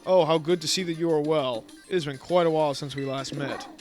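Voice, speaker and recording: A man's voice: fairly high pitch, faint music behind, lightly acted. A man reading aloud the lines of a courteous, formal male academy director in a video game. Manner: courteous and measured.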